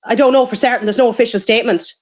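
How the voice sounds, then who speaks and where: A woman's voice: fairly high pitch, steady tone, very fast. Hesitant woman co-hosting. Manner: hesitant, short replies with fillers. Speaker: co-host. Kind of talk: casual livestream chat.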